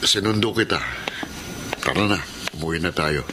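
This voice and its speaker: Low male voice, self-doubting Filipino working dad, earnest, radio drama, noisy ambience. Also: moderate pace. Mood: pleading. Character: humble, self-doubting working father, hurt and pleading.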